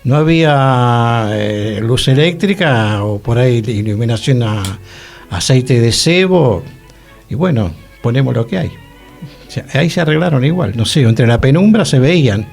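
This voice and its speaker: A man's voice: mid-range voice, expressive, measured pace, creaky, a little mellow, faint music behind. A man with a Rioplatense Argentine accent, co-hosting a casual radio conversation and emphatically repeating phrases. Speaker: radio show co-host.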